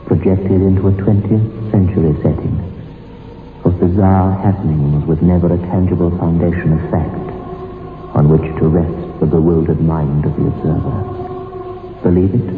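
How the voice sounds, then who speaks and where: A man hosting a horror radio show as storyteller, narrating in a grave, deliberate, dramatic manner. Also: deep voice, roomy sound. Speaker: host and storyteller. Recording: dull vintage radio recording with music.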